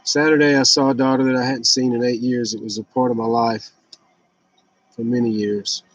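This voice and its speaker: A man's voice: medium pitch, little pitch movement, normal speed, no room sound, highs cut off. A man in his fifties talking casually and candidly in a rambling, blunt, confessional personal vlog. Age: 50s. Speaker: vlogger sharing fasting and fitness journey.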